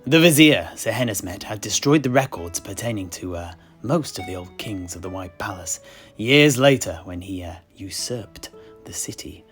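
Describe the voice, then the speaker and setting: A man's voice: lowish voice, expressive, normal speed, fairly bright tone. Man playing a genial scholarly tavern keeper, music behind. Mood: musing, genial. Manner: hesitant, musing, polite. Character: genial tavern keeper and amateur historian, bookish, fond of drink. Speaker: host and narrator of a fictional history chat. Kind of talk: in-character lore storytelling, unhurried. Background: guitar-like instrumental music.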